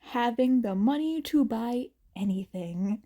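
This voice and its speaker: A woman reading fanfiction aloud as a narrator in a soft, unhurried way. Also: on the high side, lively intonation. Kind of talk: amateur audiobook narration.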